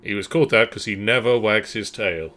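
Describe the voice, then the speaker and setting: A man's voice: lowish voice, a little fast, sharp tone. A man giving wry, teasing casual commentary as co-host of a game stream. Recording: game music behind.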